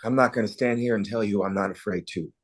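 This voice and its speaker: A man's voice: fairly low pitch, fast, close to an everyday voice. Earnest small-town actor's leading man. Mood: heartfelt. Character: earnest, nostalgic small-town man, sweetheart of old friend. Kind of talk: table read of a holiday romance script.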